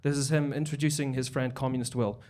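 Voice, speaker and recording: A man headlining a live stand-up set, with a halting delivery full of fillers and stumbles. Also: mid-range voice, fairly even intonation, dark tone. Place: basement comedy club stage.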